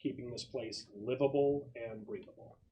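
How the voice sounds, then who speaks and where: A man runs a tabletop roleplaying game in a medium-pitched voice. He narrates conversationally, feeling his way through explanations and thinking aloud. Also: steady tone, normal speed, warm tone, slight background noise. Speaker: game master.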